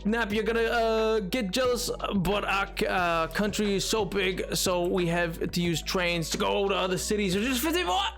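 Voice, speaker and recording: A man streaming a game and chatting casually with his viewers, excitable and rambling, with many restarts and sudden yelps. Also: high voice, moderate pace, few pauses, soft background music. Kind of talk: casual live-stream chat.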